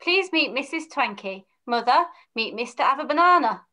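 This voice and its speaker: A woman's voice: high voice, animated, moderate pace, pushed and bright. A woman playing an eager, lovestruck young boy hero, reading earnestly in an amateur pantomime rehearsal on a video call. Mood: eager, smitten. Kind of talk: amateur pantomime read-through. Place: small room, on a video call.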